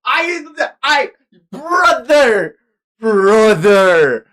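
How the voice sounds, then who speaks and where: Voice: medium pitch, sing-song, very slow, a bit bright, pitched above the performer's own voice, exaggerated voice. A streamer voicing a distraught, loyal manga defender who protests passionately for an innocent child. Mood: crying out. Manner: impassioned, protesting.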